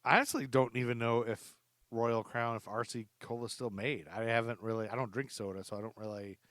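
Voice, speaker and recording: A man's voice: medium pitch, steady tone, a little fast, fairly bright tone, dry recording, studio quality. Chatty man hosting a podcast. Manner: chatty, laughs often, rambling asides. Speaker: podcast host. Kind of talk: casual podcast chat. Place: lives in Charlotte.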